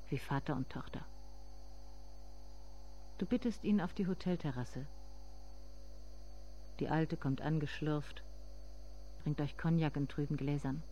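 An actress playing a bitter, grieving grown daughter who addresses herself in a measured, intimate radio monologue. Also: female voice, low voice, dark tone, a little hiss or hum. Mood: hushed, brooding.

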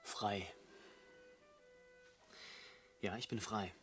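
An actor playing an embittered, weary jobless war veteran of thirty in a German radio play, with a bitterly sarcastic manner. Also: male voice, lowish voice, fairly even intonation, a little slow, fairly bright tone, slightly rough, faint music behind. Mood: evasive, tired.

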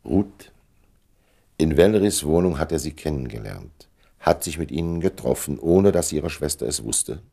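An actor playing a courteous, urbane gentleman and diplomatic go-between in a classic German radio play, speaking with polished, measured politeness. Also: rumbling low, expressive, creaky, breathy edge, heavily acted. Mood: tactful.

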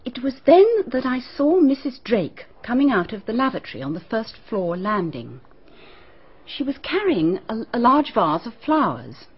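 An actress with a British accent playing a prim, nervous schoolteacher being questioned in a radio drama, answering in a clipped, guarded way. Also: female voice, on the high side, sing-song, normal speed, slightly rough, exaggerated voice. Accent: British English. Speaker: teacher questioned by a detective. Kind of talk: BBC radio drama acting. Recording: dull, muffled archive radio broadcast.

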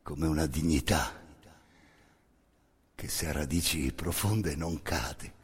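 A man performing an intense theatrical monologue, narrating in emphatic short phrases with a hoarse, gravelly voice. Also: rumbling low, slow. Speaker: stage actor narrating a monologue. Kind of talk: dramatic second-person theatrical narration.

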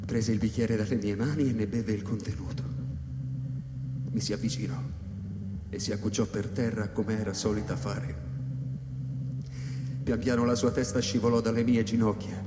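An actor playing a troubled space station scientist, narrating in the first person like radio theatre, moving between hushed confiding and urgency. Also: male voice, lowish voice, fairly even intonation, normal speed, long pauses, heavy background noise, close to an everyday voice. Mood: hushed, grave. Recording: echoey reverberant hall with music behind.

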